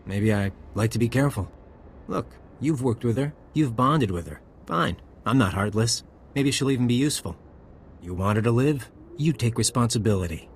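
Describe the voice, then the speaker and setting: A man acting a ruthless male intelligence operative in a sci-fi game. He is mid-pitched, wry and commanding, with brisk, casual phrasing and faint noise behind him. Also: animated, close to an everyday voice. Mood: cold, commanding. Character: cocky, ruthless male spy handler, wryly casual.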